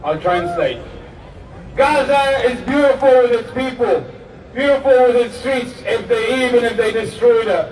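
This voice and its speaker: High-voiced man addressing a rally crowd. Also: darkish tone. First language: Arabic. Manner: measured, earnest address to a crowd. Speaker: rally speaker and emcee. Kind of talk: public rally speech. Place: outdoor protest rally. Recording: echoey rally PA from far off with crowd noise.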